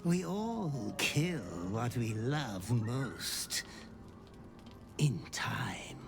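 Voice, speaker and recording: An actor playing a sinister male butler in a video game, speaking smoothly, slowly and with menace. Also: medium pitch, lively intonation, over music, heavily acted. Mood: menacing. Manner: smooth, sinister, slow and insinuating. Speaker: game character.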